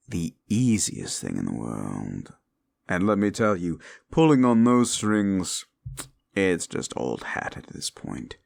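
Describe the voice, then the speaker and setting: A scornful demon, played by a man, mocks the listener in a very deep, gravelly voice. His pitch swings widely as he taunts, and the close, dry recording keeps every rasp near the ear. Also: very animated, dry recording, heavily acted. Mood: gloating. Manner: slow, mocking and condescending. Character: scornful male demon bound by a wish contract. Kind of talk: audio roleplay.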